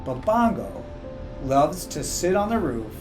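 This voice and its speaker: A man reading a children's book aloud in a measured, expressive way. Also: on the high side. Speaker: story reader. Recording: music playing behind. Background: background music.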